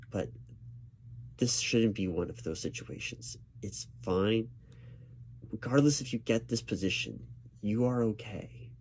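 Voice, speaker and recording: A man with a fairly low voice speaks as a job interviewer in an ASMR roleplay. His pitch moves around a lot as he reassures, often repeating words haltingly. Soft keyboard typing sounds faintly behind him. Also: a little hiss or hum. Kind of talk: ASMR roleplay, spoken aloud rather than whispered. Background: computer keyboard typing.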